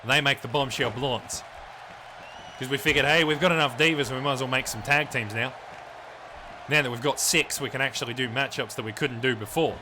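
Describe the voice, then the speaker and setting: A man's voice: mid-range voice, a little fast, a crowd in the background, background noise, natural voice. A man playing a crude, swearing Australian wrestling commentator who rambles in a blokey way over a video game match. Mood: crude banter.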